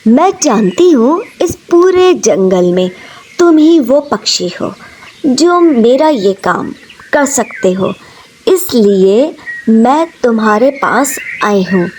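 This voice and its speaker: A woman's voice: a little high, expressive, slow pace, darkish tone, slight background noise. A woman voicing a persuasive, possessive mermaid in a cartoon story, speaking insistently. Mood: coaxing. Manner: coaxing, insistent.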